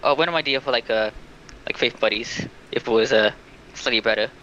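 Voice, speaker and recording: A man's voice: medium pitch, brisk, pushed and bright. A man giving his opinions hesitantly in a casual livestream discussion, leaning heavily on filler words. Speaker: stream participant. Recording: dull lo-fi mic, faint noise.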